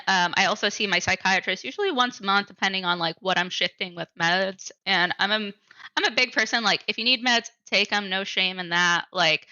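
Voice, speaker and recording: A woman in her twenties talks candidly as an interview guest in an informal, conversational way. Her voice is bright and forward, though the dry recording sounds a little dull and lo-fi. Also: medium pitch, no room sound. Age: 20s. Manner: informal, peppered with "like" and "you know". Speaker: interview guest, PhD student. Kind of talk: candid personal interview answers.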